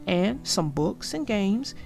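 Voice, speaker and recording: A woman narrating a children's storybook in an expressive, deliberate read-aloud style. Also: medium pitch, creaky. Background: music.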